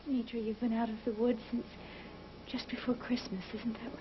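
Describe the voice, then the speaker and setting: An actress playing a remorseful woman who speaks softly and hesitantly, in a soap opera. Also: on the high side, little pitch movement, normal speed, background noise, natural voice. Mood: pleading. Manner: soft, hesitant, at times whispering. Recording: dull lo-fi TV recording with loud music.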